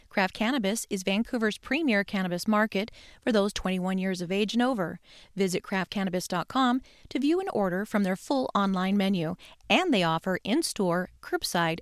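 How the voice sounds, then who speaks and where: A woman's voice: mid-range voice, no room sound. American woman reading dry-studio radio announcements. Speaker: sponsor announcer.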